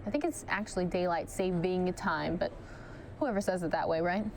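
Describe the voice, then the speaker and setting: Chatty woman anchor, voice going hoarse. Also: fairly low pitch, moderate pace, darkish tone, a little hiss or hum. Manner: chatty, addresses viewers directly. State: losing her voice. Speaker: news anchor. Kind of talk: live chase commentary.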